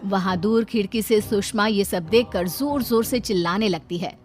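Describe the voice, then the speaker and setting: A woman's voice: mid-range voice, moderate pace. A woman narrating a cartoon story from a script. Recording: faint music behind.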